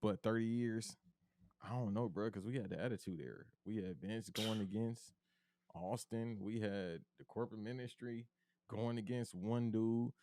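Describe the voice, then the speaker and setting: A man co-hosting a podcast, talking wrestling in a casual, emphatic way and calling his co-host bro. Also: normal speed. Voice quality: deep bass voice. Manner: animated, emphatic, slangy, calls his cohost bro.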